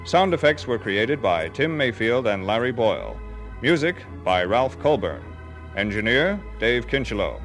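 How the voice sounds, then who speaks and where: Radio announcer: man, mid-range voice, formal scripted delivery, soft music bed. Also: medium pitch, big pitch swings, unhurried.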